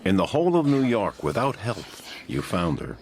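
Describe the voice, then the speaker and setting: An actor playing a calm, perceptive confidant in a radio drama, knowing and gently probing. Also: male voice, fairly low pitch, normal speed, noisy background. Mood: attentive, intrigued.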